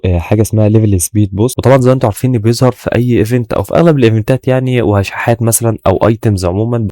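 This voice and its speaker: A man's voice: fairly low pitch, warm tone, no room sound. A man with an Egyptian accent and a slightly tired voice, casually explaining a game update to viewers and often checking that they follow. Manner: informal, repeatedly checking in with "okay?". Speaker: gaming YouTuber.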